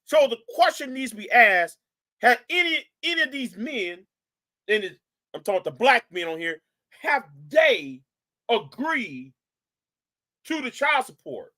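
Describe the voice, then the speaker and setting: Animated man scolding on a live stream. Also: very high-pitched, measured pace, pauses often. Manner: animated, repetitive, mocking, scolding. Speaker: live stream host reacting to a video. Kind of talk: reaction commentary to a live chat.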